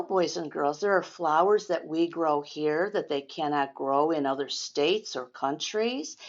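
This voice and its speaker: A woman's voice: on the low side, highs cut off. An older woman doing a read-aloud story time for children, speaking directly to young listeners and explaining as she goes. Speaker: storyteller reading a picture book to children.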